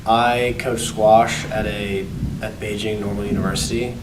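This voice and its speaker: A young man, a college student, speaking in a measured way. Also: fairly low pitch, little pitch movement. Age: young adult. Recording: distant echoey room, heavy noise.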